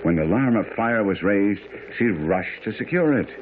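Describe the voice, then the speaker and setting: An actor playing a confident, sharp-witted gentleman detective in a radio drama, speaking in a formal, measured, explanatory way. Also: on the low side, animated, natural voice. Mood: satisfied, pondering. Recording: muffled, noisy vintage radio broadcast.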